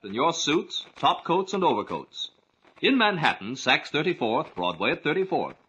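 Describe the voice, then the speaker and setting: A man's voice: on the high side, sing-song, moderate pace, pushed and bright, husky. An American man reading out a list of stores as a 1940s radio advertisement announcer. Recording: dull old radio recording.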